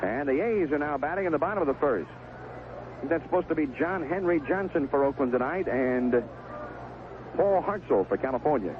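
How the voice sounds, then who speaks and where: High-pitched man calling baseball on tinny old radio. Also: lively intonation, moderate pace. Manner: clipped phrases, descriptive call of each pitch. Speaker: radio baseball play-by-play announcer. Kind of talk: live sports commentary, 1970s radio. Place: outdoor ballpark broadcast booth. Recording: noisy, tinny narrowband vintage radio broadcast.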